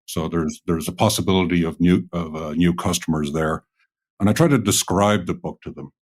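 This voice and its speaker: Man, deep voice, hesitant co-host asking questions. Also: normal speed. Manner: hesitant, soft-spoken, many ums. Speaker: podcast co-host. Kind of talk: conversational interview.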